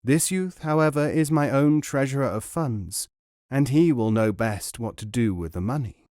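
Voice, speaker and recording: A man's voice: medium pitch, moderate pace, a little mellow, no echo, natural voice. A courtly old Persian bookseller, gracious and formal, his voice lifted a little and dry, as a man reading the story puts him on. He talks with polite ceremony, in a close, dead sound.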